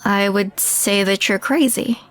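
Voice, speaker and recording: A woman playing an anxious, skeptical young woman in an audio roleplay, speaking in a halting, unsure way as a reluctant companion. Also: medium pitch, fairly bright tone, close to an everyday voice. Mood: doubtful, alarmed. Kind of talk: scripted audio roleplay drama.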